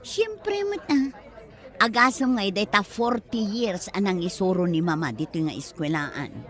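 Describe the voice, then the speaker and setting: A woman's voice: medium pitch, animated, measured pace, natural voice. A woman acting a chatty grown daughter in a family comedy audio drama. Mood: patient. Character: chatty adult daughter of a retiring teacher.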